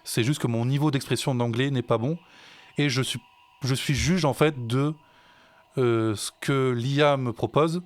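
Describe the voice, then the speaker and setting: A man's voice: medium pitch, a little slow. A French man, a music teacher and songwriter, chatting in a casual podcast and hesitating with many fillers and restarts.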